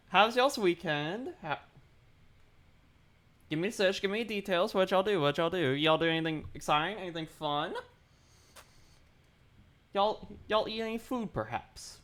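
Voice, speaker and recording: A young man streaming while he draws, chatting casually with his viewers, his throat dry and sore and his voice a little deeper than usual. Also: lively intonation, measured pace, pauses often, fairly bright tone. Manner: rambling, chatty, greets chat, repeats himself. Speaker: art livestreamer. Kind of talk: casual livestream chat.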